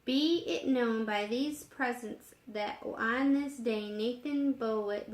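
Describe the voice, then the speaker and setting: An earnest, bookish young man of a storybook, read by a woman. He speaks slowly and deliberately, in a lightly high register. The recording is echoey.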